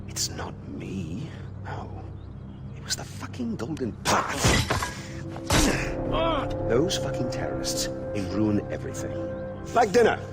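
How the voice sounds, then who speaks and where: Flamboyant middle-aged game tyrant, fake polite and volatile, pitch all over the place, music behind him. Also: male voice, full character voice. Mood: mocking, angry. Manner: theatrical, affected politeness breaking into swearing outbursts. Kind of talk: video game cutscene acting.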